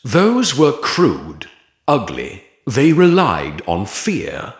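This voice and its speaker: A man narrating an audiobook voices a quiet, certain mafia boss in his thirties with deliberate, controlled menace. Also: medium pitch, huge pitch swings, reverberant, exaggerated voice.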